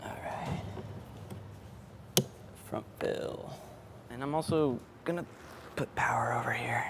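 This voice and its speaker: A man's voice: mid-range voice, slow pace, fairly bright tone. A man talking casually and offhand as a sound engineer setting up gear, often muttering to himself. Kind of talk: casual vlog talk while setting up gear. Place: indoors in a print shop. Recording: clear background noise, room ambience.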